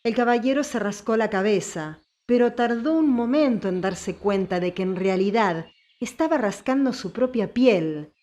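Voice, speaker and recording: A woman's voice: fairly high pitch, lively intonation, normal speed, darkish tone. A woman reading scripted story narration as an audiobook narrator.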